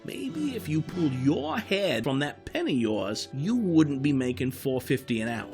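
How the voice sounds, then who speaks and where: Man playing weary night guard, very lively intonation, folksy, plain-spoken, audio drama, music underneath. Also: a little high, a little slow. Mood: wry, teasing. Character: world-weary, talkative male night-shift department store security guard.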